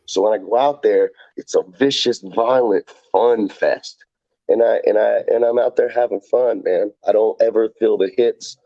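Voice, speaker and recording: Guest fighter, male, lower voice, mellow tone, excitable and profane, dry dull recording. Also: moderate pace, dry recording. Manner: rambling, excitable, swears freely, acts out quotes. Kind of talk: casual podcast chat.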